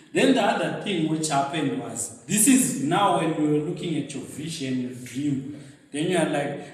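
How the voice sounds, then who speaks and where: A man from Zimbabwe with a Zimbabwean accent, giving an animated, storytelling motivational talk at a luncheon and laughing at his own stories. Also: a little high. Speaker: guest speaker. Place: event venue hall. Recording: very echoey hall, mic set far off.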